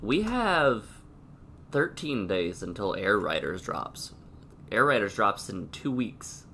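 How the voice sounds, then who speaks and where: A man with a stuffy, sniffly nose and a sore throat, streaming a game and chatting casually while thinking aloud with pauses. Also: lowish voice, big pitch swings, pushed and bright, a little hiss or hum. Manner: laid-back, thinking aloud with pauses and hums. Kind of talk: casual gameplay commentary.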